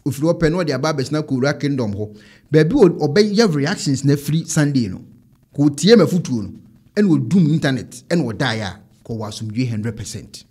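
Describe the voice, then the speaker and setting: A man in his thirties with a Ghanaian accent, giving animated commentary as an online show host into a close desk microphone. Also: on the high side, fairly quick, breathy edge. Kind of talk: animated commentary on trending videos. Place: indoor home studio.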